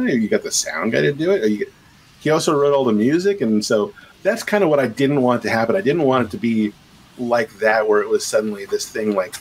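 A man's voice: medium pitch, a little hiss or hum, rough recording. A middle-aged man, a game composer and sound designer, talking casually on a video call with many fillers and restarts. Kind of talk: casual podcast interview.

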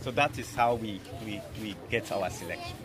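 A man giving a halting interview answer as a church official. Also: on the low side, fairly bright tone, slightly rough. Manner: halting, phrase by phrase. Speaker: church administrative clerk being interviewed. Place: outdoor yard with people around. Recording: outdoor interview with crowd chatter.

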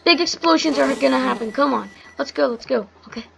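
High, creaky-voiced child game streamer. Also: fairly quick, fairly bright tone, slight background noise, low-quality recording. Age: child, almost twelve. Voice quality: slightly strained, voice wearing out. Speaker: gaming video host. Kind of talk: casual gameplay commentary. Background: background music.

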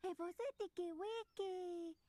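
Tiny high-pitched babyish creature. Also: takes it slowly, very noisy. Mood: playful. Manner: baby talk, mispronounced words, short repeated phrases. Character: small babyish giggly toddler-like creature, sweet and excitable. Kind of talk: preschool children's TV character acting. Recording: children's show music behind the voice.

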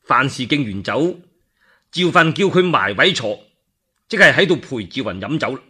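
A man with a Cantonese accent telling a story for radio in a colloquial, expressive, theatrical way. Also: medium pitch, normal speed, slightly rough. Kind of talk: animated dramatic radio storytelling.

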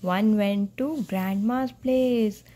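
Slow, mellow Indian woman reading aloud. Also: medium pitch. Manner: simple, instructive, prompting questions. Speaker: mother reading to a small child. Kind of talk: picture-book read-aloud with questions to a child. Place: at home, indoors on a bed.

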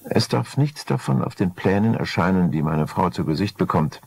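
A man voicing a smooth, scheming husband with a gravelly voice, ingratiating and calculating, in an audiobook crime story. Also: low voice, lively intonation, normal speed, a little mellow. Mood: confidential.

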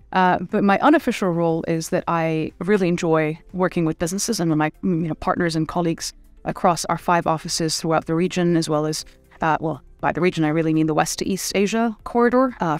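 A woman's voice: on the low side, no room sound. Woman, thirties, finance guest, thoughtful, hesitant fillers, dry close mic, faint music. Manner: thoughtful, many fillers and restarts. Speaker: private equity managing director, guest. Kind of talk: conversational interview. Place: studio set with city backdrop. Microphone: desk microphone.

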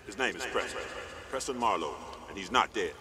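Man as a gruff, fast-talking army sergeant. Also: fairly low pitch, expressive, natural voice. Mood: steady, commanding. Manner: blunt, commanding. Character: gruff, no-nonsense male army squad sergeant. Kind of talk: video game military acting. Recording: clear vehicle and outdoor noise behind.

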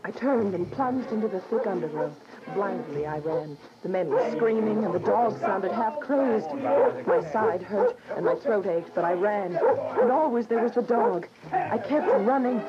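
A woman's voice: medium pitch, very animated, measured pace, a loud crowd. An actress playing a devout young woman on the run, narrating her story earnestly in a radio drama. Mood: frightened, urgent. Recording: dull lo-fi radio drama, music behind.

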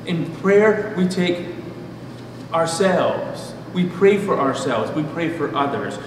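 A middle-aged man preaching a sermon as a pastor, in a measured, deliberate manner with pauses. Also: on the high side, animated. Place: church room at a pulpit. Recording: distant mic, echoey hall, noisy background.

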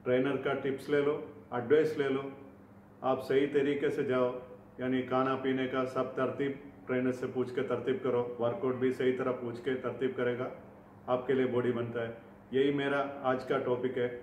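A man's voice: medium pitch, little pitch movement, moderate pace, darkish tone. A middle-aged man gives an informal instructional talk to camera, explaining in simple, repetitive phrases. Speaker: fitness vlogger. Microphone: camera a few feet away. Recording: echoey room, distant mic, faint noise.

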